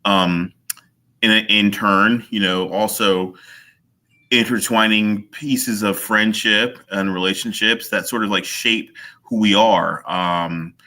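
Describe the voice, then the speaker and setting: Bright-voiced man, hesitant and thoughtful. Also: lowish voice, fairly even intonation, takes it slowly. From Virginia, USA. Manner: hesitant, many fillers, thoughtful. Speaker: author guest. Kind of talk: casual interview.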